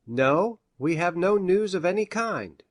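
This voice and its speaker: A man's voice: sits high, animated, unhurried, no room sound, clean studio sound. A man playing a learned country doctor in a storybook, with a formal, pedantic manner. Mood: earnest.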